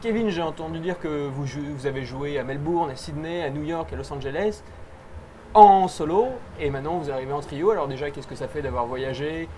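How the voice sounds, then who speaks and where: A man giving lively music commentary on a live talk show, upbeat and quick to laugh. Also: fairly high pitch, a crowd in the background. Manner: enthusiastic, laughs easily. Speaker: music columnist. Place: live event on a boat.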